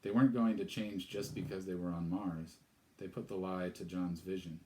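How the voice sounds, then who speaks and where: A man reading a novel aloud, pausing for relaxed, chatty asides. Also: low voice. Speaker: storyteller. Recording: echoey room.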